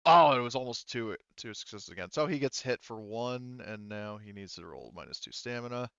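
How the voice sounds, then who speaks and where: Clear-voiced man, halting game master. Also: mid-range voice, expressive, a bit bright, no echo, muffled recording. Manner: halting, thinking aloud. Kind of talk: narrating and refereeing a tabletop roleplaying game.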